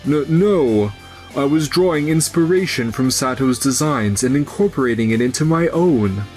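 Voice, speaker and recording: A man's voice: mid-range voice, lively intonation, moderate pace, a little mellow, soft background music, close to an everyday voice. Man playing flustered stammering young rival. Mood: defeated, defensive. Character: flustered, envious young male office rival. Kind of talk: anime-style manga dub acting.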